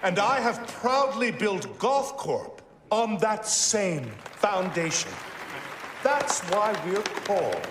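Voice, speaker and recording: A proud corporate boss speechifying in a video game. He is slow and hugely animated, echoing from afar over crowd and music. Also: sits high, distant mic, background noise, heavily acted. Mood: proud, grateful.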